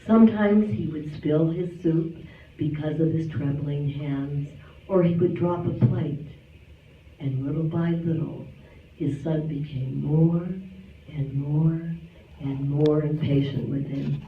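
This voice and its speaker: A man tells a story aloud at a slow, deliberate pace in a fairly high voice. He is recorded from far off in an echoey hall, with a thin sound. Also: fairly even intonation, narrow, tinny audio, a little hiss or hum. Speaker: reader at an amateur reader's theater. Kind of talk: storytelling, read aloud on stage. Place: clubhouse hall stage.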